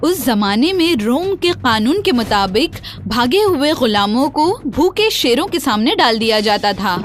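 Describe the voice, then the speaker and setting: Female storyteller for children's tales, unhurried, slightly high voice, short phrases, music beneath. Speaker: narrator. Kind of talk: scripted children's story narration. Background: soft music.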